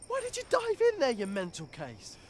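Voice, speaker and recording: A man's voice: extremely high pitch, wildly swooping pitch, moderate pace, a little hiss or hum, heavily acted. A man voicing a loyal, blunt British teenage wizard boy in a video game, speaking earnestly and colloquially. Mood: exasperated. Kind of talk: video game dialogue acting.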